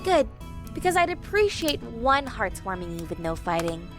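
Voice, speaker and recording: A woman's voice: fairly high pitch, very animated, normal speed, faint music behind. A woman playing a stern but loving pony princess mother in an audio drama, composed and firm.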